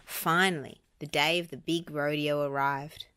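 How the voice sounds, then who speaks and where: A woman reading a children's storybook aloud as an expressive narrator. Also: sits low, measured pace, clear, resonant tone. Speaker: storybook reader.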